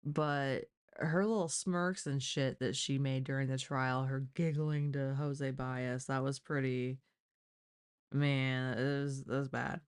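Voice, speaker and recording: A woman's voice: very deep voice, fairly even intonation, slow, smooth. A woman hosting a casual live true-crime stream, talking informally and giving her opinions. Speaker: live stream host commentator.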